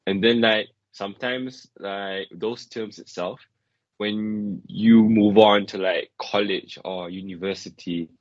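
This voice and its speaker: Young man, deep voice, many fillers. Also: fairly even intonation, slow pace, no room sound, lo-fi sound. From Malaysia. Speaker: interviewee. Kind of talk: casual interview answer.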